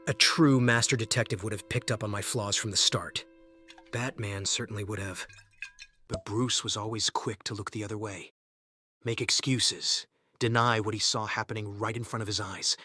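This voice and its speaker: A man's voice: fairly low pitch, steady tone, normal speed, bright, forceful tone, faint music behind. A man playing a bitter, sardonic young vigilante in a superhero comic dub, taunting as an estranged former sidekick. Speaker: voice actor in a fan comic dub.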